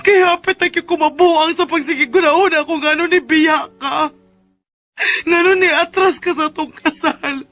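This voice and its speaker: An actress playing an emotional, reproachful young jilted bride with a Filipino accent in a radio drama, with music underneath. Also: female voice, extremely high pitch, fairly even intonation, moderate pace, fairly bright tone. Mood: hurt, reproachful.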